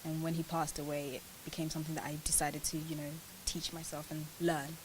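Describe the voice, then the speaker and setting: Woman, twenties, from Ghana, lowish pitch, chatty interview guest, casual answers, slight background hiss. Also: flat delivery, fairly quick. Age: 20s. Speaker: interview guest, pageant winner. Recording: clearly hissy, noisy sound.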